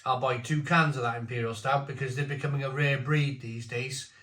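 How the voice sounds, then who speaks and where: A man's voice: medium pitch, steady tone, a little fast, fairly bright tone. A man in his forties giving a casual, opinionated beer review, talking bluntly in rambling asides. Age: 40s. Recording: roomy echo.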